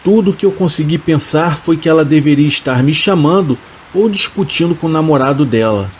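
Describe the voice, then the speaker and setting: A man calmly reading first-person horror stories in a steady, scripted narration. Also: a little high, brisk. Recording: faint rain and hiss behind the voice. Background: rain sounds.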